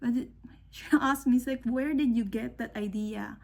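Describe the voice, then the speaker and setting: A woman's voice: a little high, fairly even intonation, normal speed, vocal fry, a little mellow. A middle-aged woman from the Philippines, whose first language is not English, giving an earnest, motivational talk to young engineers, with fillers and restarts. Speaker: speaker.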